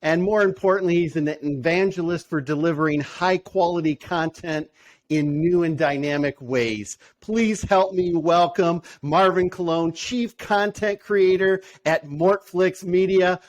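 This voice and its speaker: A man's voice: high voice, unhurried, muffled recording. A man hosting a podcast, reading an upbeat announcer-style introduction and then asking interview questions. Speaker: podcast host.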